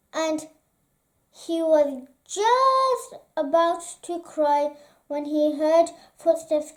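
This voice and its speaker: A gentle, expressive reader telling a children's bedtime story aloud. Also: extremely high pitch.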